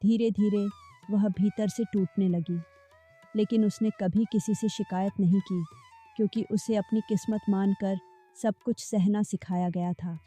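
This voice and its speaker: A woman narrating a cartoon folk story in a steady, measured way. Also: mid-range voice. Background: faint background music.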